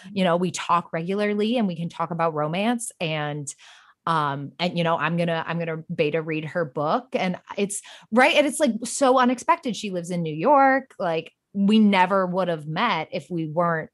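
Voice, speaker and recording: A woman's voice: fairly low pitch, normal speed. A young woman guest on a podcast, chatting casually in an effusive, slangy way with lots of "like". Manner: effusive, slangy, peppers talk with "like". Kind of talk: casual chat.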